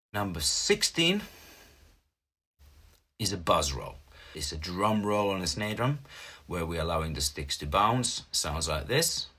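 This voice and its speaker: Deep-voiced Finnish man explaining drum notation. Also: bright, forceful tone, slightly rough. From Finland. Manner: plain, patient step-by-step explaining with small grammar slips. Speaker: drum teacher. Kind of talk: instructional explanation.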